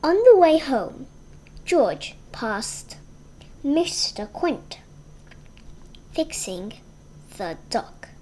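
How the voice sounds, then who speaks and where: A child reading a storybook aloud, careful and expressive, word by word. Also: high voice, very slow, pauses often, a little hiss or hum. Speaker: reader.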